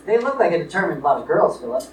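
A man's voice: on the high side, big pitch swings, fast. A man playing a blustering, scheming middle-aged businessman father in an old-time radio comedy staged live in a theater. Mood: stern, scheming. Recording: distant built-in recorder mics, echoey, faint noise.

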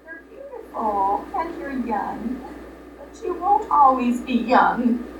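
A noisy, echoey recording of a woman acting a fussy matchmaking relative in a vintage radio play. She coaxes and pleads in a high, lilting voice. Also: sing-song, takes it slowly, lo-fi sound. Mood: coaxing. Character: coaxing, proper female relative playing matchmaker. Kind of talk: old-time live radio play acting. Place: live radio play performance in a roomy space. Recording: echoey room, far mics, clear noise.

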